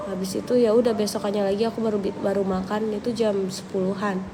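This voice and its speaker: A young woman with a cold, chatting casually with viewers on a livestream, relaxed and thinking aloud. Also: mid-range voice, fast. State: has a cold, coughing. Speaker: livestream host answering viewers. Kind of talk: casual live chat reading viewer questions. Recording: noisy livestream audio.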